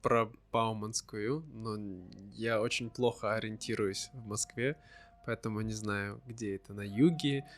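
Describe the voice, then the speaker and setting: A man hosting a slow conversation for language learners, asking simple, friendly follow-up questions. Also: on the low side, fairly even intonation, a bit bright. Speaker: host.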